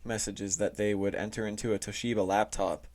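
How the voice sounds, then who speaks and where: A man explaining things casually as a podcast host, halting and informal, often saying "like". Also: lowish voice, little pitch movement, darkish tone. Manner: informal, halting, heavy use of "like". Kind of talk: casual explainer talk reading from notes. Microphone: close, touchy microphone.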